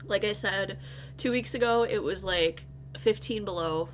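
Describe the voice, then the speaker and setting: A woman's voice: mid-range voice, measured pace, a little hiss or hum. A married woman from North Dakota, still sniffly from illness, rambling chattily to her viewers in a casual crochet vlog. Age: adult, married. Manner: rambling, chatty, with frequent restarts and laughs. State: stuffy and recovering from illness. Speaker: crochet vlogger.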